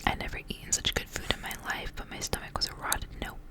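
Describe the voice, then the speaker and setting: A woman reading a story aloud in a hushed ASMR whisper from start to end. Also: fast talker. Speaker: ASMR reader.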